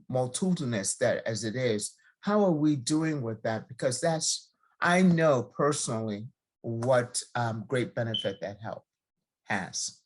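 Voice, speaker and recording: A woman from Nigeria, a county judge, speaking slowly and haltingly with many fillers on a video call. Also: a bass voice, darkish tone. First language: a Nigerian language. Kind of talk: virtual panel remarks.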